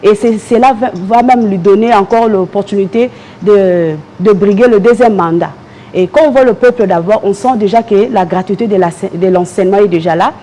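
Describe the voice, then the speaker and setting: A woman from DR Congo with a Congolese French accent, answering as a party member in a political interview in a measured, hesitant way. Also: mid-range voice, warm tone.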